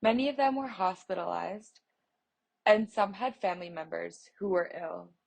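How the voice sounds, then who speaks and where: A woman reads a news feature out loud at a mid-range pitch, her delivery steady and measured. She stumbles on a word now and then, restarts, and carries on in the same even manner. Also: vocal fry. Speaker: voice interpreter reading an article. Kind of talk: reading a news feature aloud.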